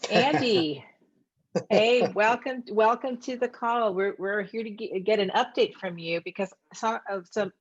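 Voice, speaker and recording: A woman's voice: mid-range voice, a little slow, no echo, muffled recording. A woman hosting a video call, prompting guests with encouraging questions. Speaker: host of a testimonial call. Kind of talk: casual video call interview.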